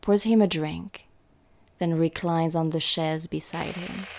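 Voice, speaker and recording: A woman's voice: low-pitched, fairly even intonation, unhurried, gravelly, a little mellow. A woman performing as a sultry narrator, setting the scene in a scripted noir audio drama. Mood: moody.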